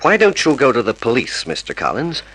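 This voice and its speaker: An actor playing a scheming con man who poses as a private detective with measured, reassuring talk, in an old-time radio drama. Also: medium pitch, wildly swooping pitch, a bit bright, dry recording, low-quality recording. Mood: falsely courteous. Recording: vintage radio drama recording.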